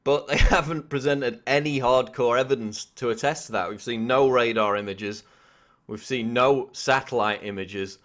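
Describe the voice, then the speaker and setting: A man's voice: mid-range voice, normal speed, fairly bright tone. A man delivering political news commentary in an insistent, questioning manner. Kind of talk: scripted news commentary to camera.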